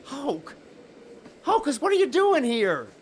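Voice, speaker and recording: An actor playing a desperate, guilt-ridden middle-aged father and professor in an audio drama, halting and pleading. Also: very high voice, huge pitch swings, a little hiss or hum, full character voice. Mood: freezing, alarmed.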